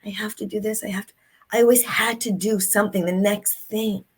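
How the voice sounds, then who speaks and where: A woman's voice: mid-range voice, fairly even intonation, a little fast, slightly rough. Earnest, reflective middle-aged woman guest. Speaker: author and speaker, guest. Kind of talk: reflective interview conversation.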